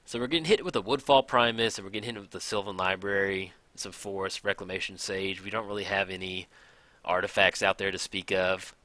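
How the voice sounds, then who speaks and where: A man casually commenting on a card game match, thinking aloud, his voice a little raspy from hours of recording. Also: sits low, steady tone, a little fast, no echo. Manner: chatty, thinks aloud, counts out loud. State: slightly raspy from long recording. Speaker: gameplay commentator.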